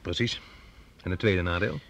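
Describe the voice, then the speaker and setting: Amiable, low-voiced scientist doctor. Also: male voice, moderate pace, creaky, a bit bright. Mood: curious, agreeable. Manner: courteous, questioning. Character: amiable, eager, agreeable male doctor scientist. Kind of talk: radio drama acting. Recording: vintage radio play, faint background noise.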